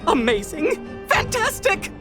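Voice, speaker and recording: Courteous, lively auction house attendant. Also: female voice, very high-pitched, takes it slowly, over music, exaggerated voice. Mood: delighted, grateful. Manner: formal, courteous, expressive. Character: polite, gracious auction house attendant, adult. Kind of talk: animated video game voice acting.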